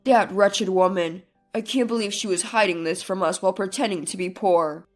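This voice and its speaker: A woman's voice: medium pitch, moderate pace, soft background music, pitched below the performer's own voice, natural voice. A woman voicing a scornful, hot-tempered man in a narrated family drama. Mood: furious.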